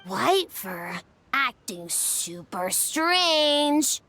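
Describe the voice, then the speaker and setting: A playful little child in an anime game, bright and extremely high. The words come very slowly in a blunt, teasing, childlike way, with faint music underneath. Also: female voice, big pitch swings, full character voice.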